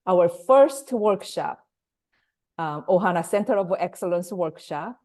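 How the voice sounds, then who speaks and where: A woman's voice: fairly low pitch, warm tone. Korean-speaking woman hosting a webinar. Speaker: workshop host and facilitator. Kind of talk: webinar introduction over video call. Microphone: video call.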